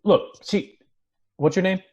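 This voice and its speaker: A man's voice: sits high, slow, a little mellow, slightly rough, heavily acted. A man reading the part of a brooding, protective, hard-drinking former doctor in his late thirties at a screenplay table read. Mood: firm, protective. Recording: dull lo-fi video call audio.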